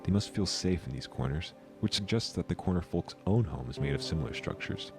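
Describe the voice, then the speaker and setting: Guy acting as low voiced obsessed male researcher narrating an analog horror doc, earnest and clinical, music behind him. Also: expressive, fairly quick. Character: male amateur researcher obsessed with otherworldly creatures.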